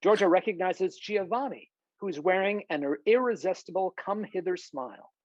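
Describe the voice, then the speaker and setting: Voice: mid-range voice, moderate pace, darkish tone, no echo. A narrator reads screenplay scene headings and stage directions as scripted narration over a video call. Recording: slightly dull video-call audio.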